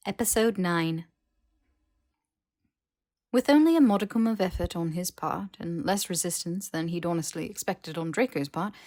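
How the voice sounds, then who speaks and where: A woman's voice: fairly low pitch, no echo, studio quality. A woman reading scripted fiction as an audiobook narrator.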